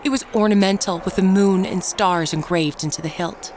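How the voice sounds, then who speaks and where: A woman playing a wronged, bitter village wife, telling her own dark fantasy tale in solemn, deliberate scripted narration. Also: mid-range voice, a little hiss or hum, lightly acted. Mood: uneasy, awed. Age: middle-aged. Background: music.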